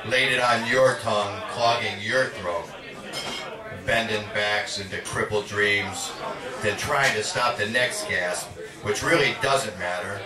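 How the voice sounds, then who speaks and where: A man reading poetry aloud at a live reading in a measured, dramatic spoken-word delivery. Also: lowish voice, crowd noise. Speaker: poet. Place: indoor literary cafe reading. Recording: echoey, distant live recording with audience. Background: audience sounds.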